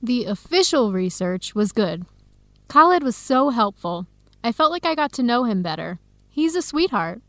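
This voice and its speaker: An actress playing an earnest young American woman researcher in a radio drama, with clear, expressive, carefully enunciated speech. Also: a little high, normal speed, dry recording. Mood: cheerful. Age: young adult. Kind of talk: scripted radio drama dialogue.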